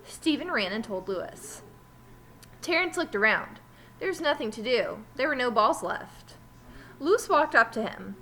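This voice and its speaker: A woman teacher reading a children's story aloud with animated, emphatic delivery. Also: a little high, a little slow, a little hiss or hum.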